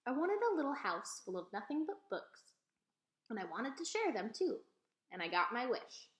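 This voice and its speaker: A woman's voice: a little high, very animated, moderate pace. A woman voicing a proud, folksy elderly librarian in a storybook read-aloud, speaking with emphatic repetitions. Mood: proud, reminiscing. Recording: echoey room.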